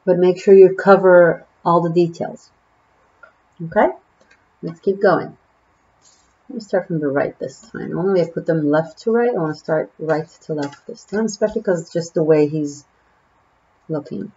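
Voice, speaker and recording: A woman's voice: lowish voice, moderate pace, long pauses, warm tone, highs cut off. A woman from Israel with an Israeli accent, a native Hebrew speaker, giving a casual tarot reading to the camera, musing and chatty with many fillers. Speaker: tarot reader.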